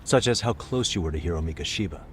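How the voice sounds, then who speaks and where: A low-pitched male detective in a video game drama, measured and confident. He questions people with a dry, wry edge, over faint background noise. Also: expressive. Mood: polite, questioning. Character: adult male private detective, cool, probing, wry. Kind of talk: video game cutscene acting, English dub.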